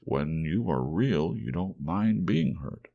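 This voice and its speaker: Man as wise old storybook horse, slow, low, creaky, gentle, reassuring. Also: animated, dull audio, exaggerated voice.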